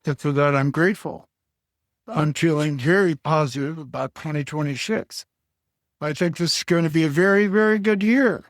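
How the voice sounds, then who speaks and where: An older man from Alaska answering interview questions slowly, pausing often with fillers and short of breath. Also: on the high side, dry recording, studio quality. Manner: halting, with many pauses and fillers. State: short of breath, on supplemental oxygen. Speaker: guest. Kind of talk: slow reflective interview answers.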